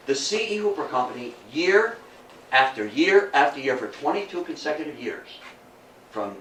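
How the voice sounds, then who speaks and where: A man's voice: on the high side, sing-song, moderate pace, fairly bright tone. Older man lecturing in a roomy space. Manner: folksy, chatty, rhetorical questions to listeners. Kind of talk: informal history talk to an audience. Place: indoor room before an audience. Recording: roomy, distant mic, faint noise.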